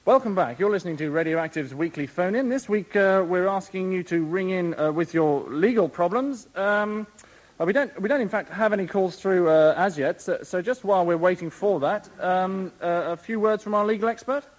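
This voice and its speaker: A man's voice: high voice, normal speed, lightly acted, rough recording. An actor playing a hapless male radio phone-in host who stumbles and stalls with endless ums and uhs. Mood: flustered. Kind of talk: radio comedy sketch.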